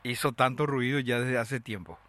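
A man hosting a radio show, reading the news and chatting with callers in a measured, explanatory way with many tag questions. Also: mid-range voice, a bit bright. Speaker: radio host.

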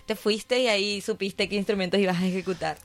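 A woman with a Paraguayan Spanish accent hosts a live radio interview show. Her voice sits at an average pitch for a woman. Faint music plays behind her. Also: fairly even intonation, fast, dry recording.

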